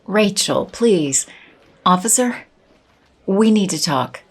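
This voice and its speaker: A woman's voice: medium pitch, lively intonation, takes it slowly, slightly rough, exaggerated voice. An actress playing a fearful, shaken woman, narrating and acting unsteadily in an audio drama. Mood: urgent. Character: fearful, shaken wife and schoolteacher.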